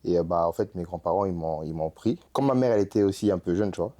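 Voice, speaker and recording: A young man from Congo chatting in an interview, telling stories in an animated, slangy way and laughing often. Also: deep voice, quick pace. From Republic of the Congo. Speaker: rap artist guest. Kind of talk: casual interview chat.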